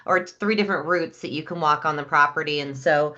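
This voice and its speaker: A woman co-hosting a video call webinar, calmly adding organized side notes. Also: deep voice, quick pace, lo-fi sound.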